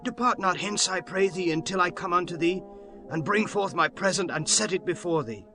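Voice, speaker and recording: A man's voice: high voice, moderate pace, over music. An actor playing an anxious, doubting young man who pleads earnestly in archaic dramatized scripture. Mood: pleading.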